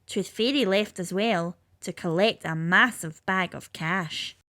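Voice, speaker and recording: A woman's voice: on the low side, a little slow, sharp tone, no room sound, studio quality. A woman with a lilting, sing-song delivery, reading a rhyming children's story aloud.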